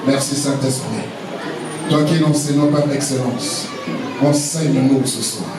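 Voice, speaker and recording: A man from Congo-Brazzaville addressing a church conference of pastors in a measured, halting way with many pauses. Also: mid-range voice, fairly even intonation, a loud crowd. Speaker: apostle leading a pastors' conference. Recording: echoey hall, distant, noisy.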